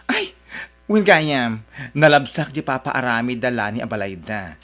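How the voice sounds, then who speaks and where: A woman's voice: a bass voice, lively intonation, normal speed, fairly bright tone, soft background music. A woman with an Ilocano accent acting a chatty, gossipy neighbor in an audio drama.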